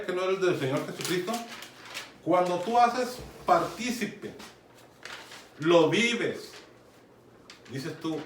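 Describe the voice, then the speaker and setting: A man with a Mexican accent preaching a conversational Bible sermon, often checking in with his listeners. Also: on the high side. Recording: echoey room, from far off, faint noise.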